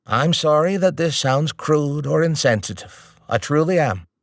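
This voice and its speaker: A man's voice: a little high, expressive, unhurried, no room sound, studio quality, pitched above the performer's own voice. A man voicing an earnest army chaplain giving urgent, insistent advice.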